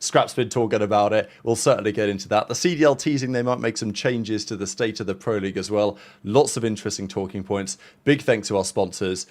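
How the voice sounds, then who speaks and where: A man's voice: fairly low pitch, darkish tone. A man hosting a casual esports podcast, upbeat and presenter-like, running through sponsor and event plugs. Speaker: host.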